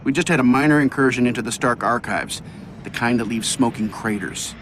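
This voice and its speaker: An actor playing a cocky, wisecracking armored superhero in a video game, glib, sardonic and self-assured. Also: male voice, mid-range voice, fairly quick, close to an everyday voice. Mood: glib, confident. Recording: game music and engine noise behind.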